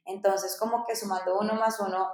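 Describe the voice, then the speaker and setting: A woman's voice: medium pitch, fairly even intonation, a little fast. A woman, telling her story as a podcast guest in a chatty way, with many fillers. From Colombia. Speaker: podcast guest, startup founder. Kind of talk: casual interview storytelling. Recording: echoey room.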